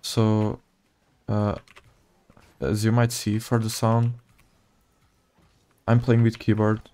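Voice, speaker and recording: A man with a Portuguese accent, a native Portuguese speaker, giving casual gameplay commentary in low-key asides to himself. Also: completely flat delivery, slow pace, mellow, clean tone. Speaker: gaming video creator.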